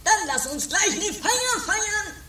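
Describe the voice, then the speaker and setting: A woman's voice: very high-pitched, animated, takes it slowly, pushed and bright, a little hiss or hum, exaggerated voice. A woman playing a grumpy, dismissive oddball with an exaggerated drawling manner in an amateur comedy radio play. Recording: roomy distant 1980s home recording, music behind.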